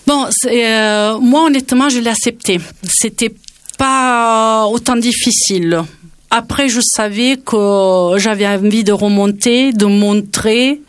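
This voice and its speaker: A woman's voice: fairly high pitch, steady tone, slow pace, smooth, dry recording, clean studio sound. A woman in her forties from Naples who speaks French with an Italian accent and takes an interview guest's part, talking hesitantly with many fillers while she searches for words. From Naples, Italy. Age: 40s. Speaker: cook, guest. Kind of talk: interview conversation.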